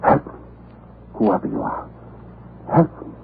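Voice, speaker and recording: An actor playing a cruel commandant who blusters, stammers and pleads in theatrical 1940s radio horror style. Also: male voice, unhurried, natural voice. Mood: aghast. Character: cruel commandant, panicked and pleading for his life. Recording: old narrowband radio recording, faint noise.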